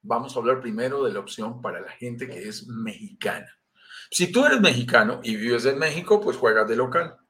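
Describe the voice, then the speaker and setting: A man's voice: medium pitch, lively intonation, normal speed, fairly bright tone. A middle-aged man with a Colombian accent, presenting a live online talk on property investment, explaining step by step to the viewer with frequent "eh" fillers. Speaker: real estate investment presenter.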